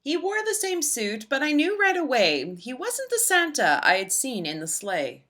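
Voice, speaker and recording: A woman narrating a rhyming children's storybook in an expressive, deliberate storytelling manner for young listeners. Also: high voice. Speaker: children's book read-aloud narrator.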